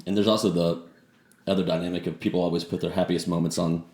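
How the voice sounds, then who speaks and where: A man's voice: sits low, level tone, fast talker, a little mellow. A man hosting a podcast, chatting in an easygoing, informal interview style. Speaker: podcast host. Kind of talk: casual interview chat. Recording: echoey room with faint noise.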